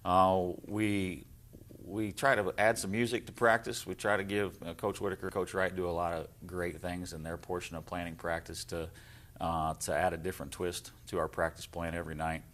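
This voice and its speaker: Deep-voiced male basketball coach. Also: level tone, normal speed, a bit bright. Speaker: high school head basketball coach. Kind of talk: conversational interview answers.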